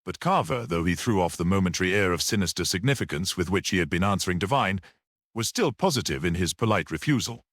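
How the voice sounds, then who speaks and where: A man's voice: low voice, animated, fast talker, a bit bright, dry recording, clean studio sound. A man reading a classic detective story as scripted audiobook narration. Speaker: audiobook reader.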